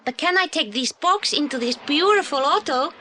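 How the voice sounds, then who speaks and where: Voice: high voice, expressive, moderate pace, sharp tone, slight background noise. An actor playing an earnest, polite young boy with a Spanish accent and broken English in an audio play. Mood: earnest, eager. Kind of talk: 1980s audio play acting.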